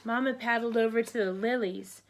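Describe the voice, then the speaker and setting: A woman reading picture books aloud to children in a teacherly way, pausing to prompt listeners with questions. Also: fairly high pitch, fairly even intonation, a little hiss or hum. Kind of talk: read-aloud storybook narration with counting asides.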